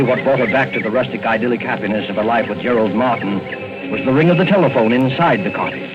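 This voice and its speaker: A man's voice: mid-range voice, close to an everyday voice. Muffled vintage radio suspense narrator, a male actor. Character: brooding, suspenseful male storyteller. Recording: muffled old radio, music underneath. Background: loud music.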